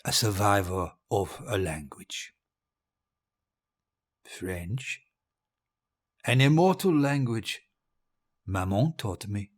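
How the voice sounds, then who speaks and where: Audio drama lead: grandiose murderous dictator, breathy rasp, theatrical delivery with big swings in pitch, sinking into murmurs. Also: male voice, animated, slow, pauses often. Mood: wistful. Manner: pompous, theatrical, savouring words, drifting into murmurs. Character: deposed-feeling murderous dictator facing death, grandiose and bitter.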